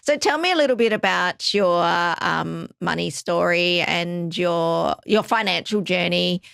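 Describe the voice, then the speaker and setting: A woman's voice: lowish voice, a little slow, creaky, clear, resonant tone, no echo, studio quality. A woman hosting a podcast, encouraging and upbeat with her guests. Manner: upbeat, encouraging, with warm laughs and affirmations. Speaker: podcast host. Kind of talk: scripted intro then interview chat.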